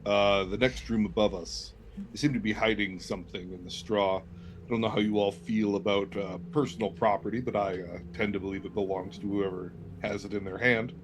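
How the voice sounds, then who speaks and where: A man's voice: fairly low pitch, fairly even intonation, fairly quick, slight background noise. A man playing a sly character at a tabletop game, speaking with hesitant uhs. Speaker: player. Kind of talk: in-character tabletop roleplay chat.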